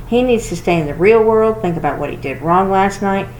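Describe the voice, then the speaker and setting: A woman's voice: mid-range voice, lively intonation, brisk, darkish tone, voice pushed up, lightly acted. A woman voicing a stern old grandfather with an emphatic, scolding manner, in a storybook reading. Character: stern, grumbling grandfather, tough and impatient with softness. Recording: roomy echo with clear noise.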